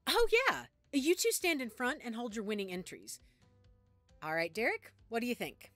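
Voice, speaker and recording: Casting: woman as brisk, friendly party organizer, highish lively voice. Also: no echo, soft background music. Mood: upbeat, organizing. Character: upbeat, organizing young woman judging party games. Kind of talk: audio roleplay acting.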